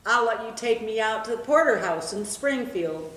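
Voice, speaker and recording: A woman's voice: on the high side, animated, moderate pace, a bit bright. A woman playing a wry, world-weary, straight-talking bartender in a stage comedy, dry and folksy. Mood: wry, coaxing. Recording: echoey room with background noise.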